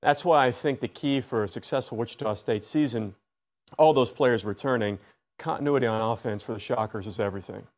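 A young man speaks as a basketball analyst from a TV studio, his voice mid-pitched and even. He lays out his argument with emphatic stress. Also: fairly quick.

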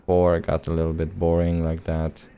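A man's voice: deep voice, monotone, moderate pace, warm tone, smooth. A man doing casual gameplay commentary with a hesitant, rambling, playful delivery. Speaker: let's play host.

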